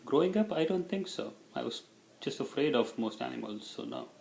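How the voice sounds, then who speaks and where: A man's voice: medium pitch, animated, moderate pace, creaky, a little mellow, echoey room. A middle-aged man from India, a native Tamil speaker with an Indian accent, answering interview questions in a casual, reflective way.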